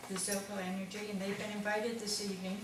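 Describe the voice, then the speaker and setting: A woman chairing a town meeting, introducing the speakers in a measured way and setting out the agenda. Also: mid-range voice, level tone. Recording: echoey hall from far off with hiss.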